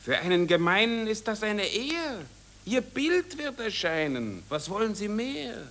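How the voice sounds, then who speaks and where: Lively, callous war photographer. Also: male voice, high-pitched, a little slow, heavily acted. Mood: self-important. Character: callous, eager war press photographer chasing heroic scenes. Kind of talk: satirical radio drama in verse.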